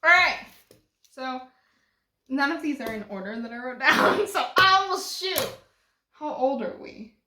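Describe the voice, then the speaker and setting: A woman's voice: high-pitched, animated, unhurried, pauses often, sharp tone, echoey room, a little hiss or hum. A woman in her early twenties chatting casually at home in her living room, rambling and laughing often. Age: 20s. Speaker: vlogger. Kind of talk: casual chat.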